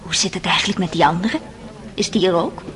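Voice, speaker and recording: A woman's voice: fairly low pitch, fairly quick, a bit bright, close to an everyday voice. An actress playing an inquisitive, gossipy society lady in a radio drama, chatty and affected. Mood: curious, gossipy. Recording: busy crowd behind the voice.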